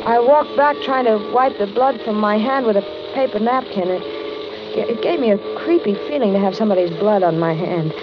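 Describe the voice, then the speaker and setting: A woman's voice: medium pitch, a little fast, close to an everyday voice. An actress playing a polite, trusting young American carhop in a 1940s radio suspense play, hesitant and confiding as she grows uneasy. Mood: uneasy recounting. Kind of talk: 1940s radio suspense acting, narrated in first person. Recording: crackly, muffled 1940s radio recording.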